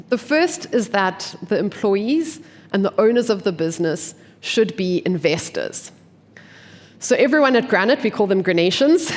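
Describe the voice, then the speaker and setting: Congested woman presenting in a reverberant hall. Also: medium pitch. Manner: clear, structured explanation with rhetorical questions. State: congested from a cold. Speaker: investment team member presenting at a forum. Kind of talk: conference presentation. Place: conference venue.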